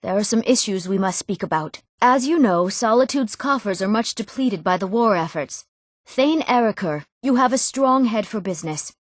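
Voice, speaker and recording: A woman acting an eager, earnest young woman in a fantasy video game, speaking her lines clearly and a little theatrically. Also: mid-range voice, lively intonation, normal speed, no room sound, clean studio sound, close to an everyday voice. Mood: formal, resolute. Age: young adult. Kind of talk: scripted fantasy game dialogue.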